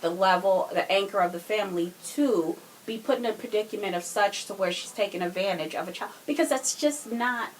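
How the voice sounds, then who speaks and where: A woman's voice: mid-range voice, fairly quick, hardly any pauses, poor audio. Female podcast co-host, casual and opinionated, recorded with faint room noise behind her.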